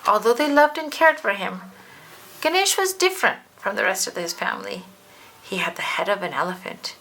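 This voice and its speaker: A woman reads a children's story aloud in an expressive, deliberate way. Her voice is bright and forceful with noticeable fry, and there is faint noise behind her. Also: medium pitch, low-quality recording. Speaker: storybook narrator. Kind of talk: children's read-aloud, scripted narration.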